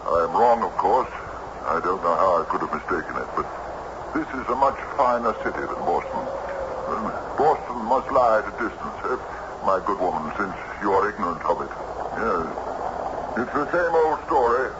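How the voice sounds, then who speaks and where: An actor in an old radio drama playing a weary, confused traveller husband with halting, old-fashioned courtly speech. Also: male voice, fairly low pitch, animated, close to an everyday voice. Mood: troubled, courtly. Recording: noisy dull old radio recording.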